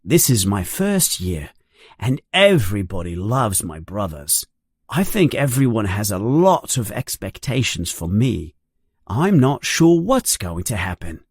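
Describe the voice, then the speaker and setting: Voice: mid-range voice, very animated, a little slow, slightly rough, dry recording, studio quality, full character voice. A narrator voicing an eager, curious, self-conscious eleven-year-old wizard boy in a storybook.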